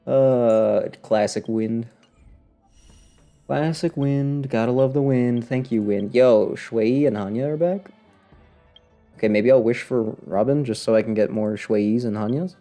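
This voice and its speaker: A man streams a video game with casual, off-the-cuff commentary. His voice sits at an ordinary pitch, and he comes across wry and sarcastic. Faint music plays behind him. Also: takes it slowly, long pauses, mellow. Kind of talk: casual live gameplay commentary.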